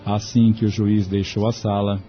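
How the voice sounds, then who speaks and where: A man's voice: fairly low pitch, flat delivery, moderate pace, natural voice. A man narrating a scripted audio drama as a composed storyteller. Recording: dull lo-fi audio, music underneath.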